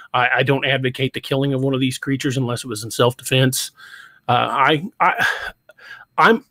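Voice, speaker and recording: A middle-aged man with a twang hosts a loose livestream talk, tripping over his own words before he lands a thought. His voice sits at an everyday pitch and sounds dry and close, with no room around it. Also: fairly bright tone, dry recording. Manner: stammering restarts, chatty and joking. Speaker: podcast host. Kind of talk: casual livestream chat. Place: home room.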